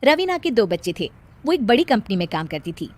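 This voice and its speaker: Female story narrator for children's moral tales; high voice, lively intonation. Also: a little fast.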